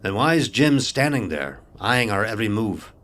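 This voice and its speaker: A man playing a stern, gruff ship's officer who tells a sea tale in commanding, archaic nautical speech for an audio horror drama. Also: on the low side, sing-song, normal speed, a bit bright. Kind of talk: first-person dramatic storytelling, audio horror.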